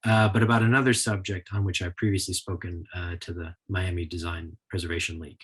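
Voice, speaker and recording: A man giving a prepared history lecture in a measured, explanatory way, with a husky voice. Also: sits low, flat delivery.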